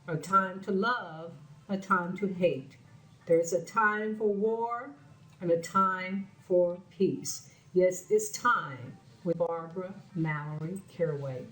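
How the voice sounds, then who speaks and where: Slightly raspy woman hosting, earnest and repetitive, recorded roomy and far from the mic, soft music under her voice. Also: mid-range voice. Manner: earnest, repeats phrases for emphasis. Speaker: show host. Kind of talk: spoken commentary to camera. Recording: roomy sound from a distant mic with faint music.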